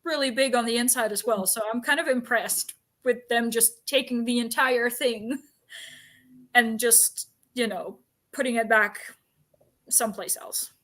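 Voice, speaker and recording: A woman with a somewhat high-pitched voice talks casually, as if co-hosting a discussion. She explains things in a talkative, informal way, dropping in asides as she goes. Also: measured pace, a bit bright. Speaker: book discussion co-host. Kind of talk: casual chat about books and history.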